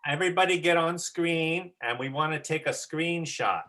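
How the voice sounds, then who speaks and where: A woman's voice: low voice, moderate pace, fairly bright tone. A woman hosting a group session over a video call, talking casually as a teacher. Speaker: host and teacher of an online children's drama session.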